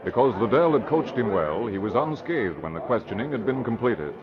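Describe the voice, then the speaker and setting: A man's voice: medium pitch, big pitch swings, fairly quick. A man narrating a scripted radio crime drama in the 1940s style. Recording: muffled old radio broadcast. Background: loud music.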